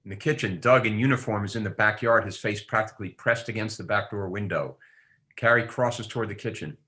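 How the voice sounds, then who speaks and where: A man's voice: on the low side, brisk, sharp tone. A man reading script stage directions aloud at a table read.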